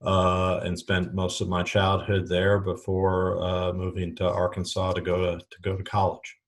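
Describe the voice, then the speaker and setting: A man's voice: deep voice, completely flat delivery, a little slow. A middle-aged man from the Chicago suburbs, a retail educator, speaking as a podcast guest in a thoughtful way, often repeating words as he restarts phrases. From Chicago suburbs, USA. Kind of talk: conversational podcast interview.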